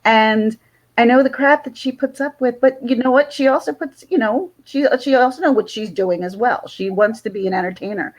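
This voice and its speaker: A woman from South Jersey with a Philadelphia accent, hosting a casual livestream chat and talking in halting, rambling restarts. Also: on the high side. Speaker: livestream host.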